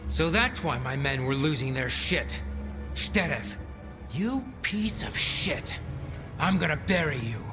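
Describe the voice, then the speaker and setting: A man playing a gruff, blunt, foul-mouthed military commander in a video game cutscene. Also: a little high, animated, takes it slowly, music in the background. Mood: angry, vengeful.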